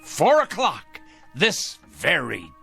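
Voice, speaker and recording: An actor playing a fanatical, self-righteous male vigilante in a radio drama, swinging from hushed murmurs to shrill outbursts. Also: huge pitch swings, slow pace, gravelly, heavily acted. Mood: zealous. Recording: faint music score behind.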